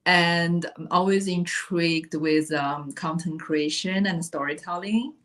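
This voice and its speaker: A woman from China, a guest in a casual interview, who talks thoughtfully and pauses often on fillers. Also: lowish voice, fairly bright tone.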